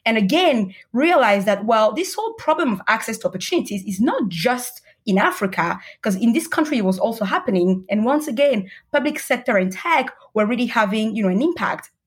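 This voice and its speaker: A woman's voice: fairly high pitch, expressive, fairly quick, few pauses, slightly rough. A woman from Cameroon and Madagascar speaking as an interview guest, explaining her path in an earnest, conversational way. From Cameroon and Madagascar, partly raised in Paris. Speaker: podcast guest, startup investor. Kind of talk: conversational interview answers, personal narrative.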